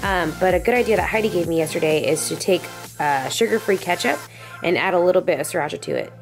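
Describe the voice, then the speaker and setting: A woman's voice: lowish voice, quick pace, soft background music. A young woman in her twenties giving chatty meal-prep tips in her home kitchen, speaking into a handheld camera. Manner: bubbly, teasing, explains in a chatty way. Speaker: fitness vlogger. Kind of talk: casual vlog chat and meal-prep tips.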